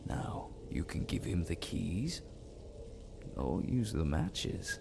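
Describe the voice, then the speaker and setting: An actor playing a stern, mysterious judge in a video game, delivering solemn, pointed questions about crime and justice. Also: male voice, deep voice, big pitch swings, slow pace, vocal fry, heavy background noise, full character voice. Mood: stern, pressing. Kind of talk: dramatic video game monologue.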